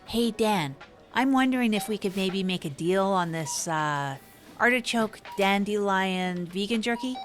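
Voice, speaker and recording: Female actor as hapless radio show host; earnest, halting, self-deprecating monologue over faint music. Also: mid-range voice, expressive, natural voice. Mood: hesitant, hopeful. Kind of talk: improvised comedy audio drama monologue.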